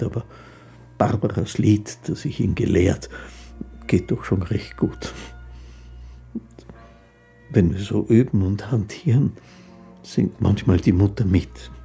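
Slow, humble old street fiddler. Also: male voice, on the low side, creaky. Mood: quietly fond. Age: older. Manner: gentle, unhurried, modest. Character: humble, ageing poor street fiddler recalling his life. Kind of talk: radio drama monologue.

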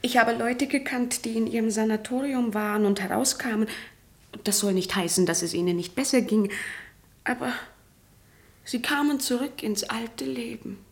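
An actress playing an earnest, troubled young woman in a German radio play, resolute and at times hushed. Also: on the high side, steady tone, moderate pace, natural voice.

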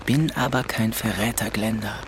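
A man's voice: fairly low pitch, steady tone, moderate pace, background noise, lightly acted. An actor playing a sullen, defiant thirteen-year-old boy in a German radio drama, terse and stubborn. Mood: sullen, defensive.

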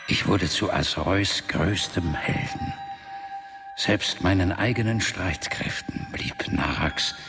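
Very deep, gravelly demon warlord. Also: male voice, exaggerated voice. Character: old male shapeshifting demon warlord, proud, lovelorn, confessing. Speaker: actor in a radio play. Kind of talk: German fantasy radio drama, dramatic narrative monologue. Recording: music under the voice.

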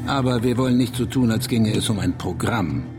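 A man's voice: fairly low pitch, brisk, music in the background, close to an everyday voice. An actor playing a grim, pious anti-revolutionary Russian man who argues emphatically in a German radio drama. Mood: accusing. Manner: declamatory, emphatic.

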